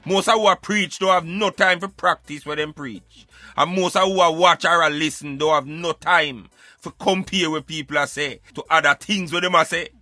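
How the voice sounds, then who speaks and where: A man's voice: fairly high pitch, normal speed, sharp tone, dry recording. A Jamaican man giving emphatic, opinionated social commentary in Patois, like a street philosopher preaching on a radio show.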